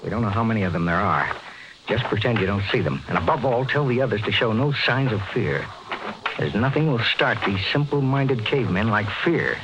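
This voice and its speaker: A man's voice: on the low side, lively intonation, fairly quick, very noisy, close to an everyday voice. A man playing a stern, proud Earth captain in a 1950s radio drama, speaking and narrating in a formal, commanding way. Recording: dull vintage radio recording, music behind.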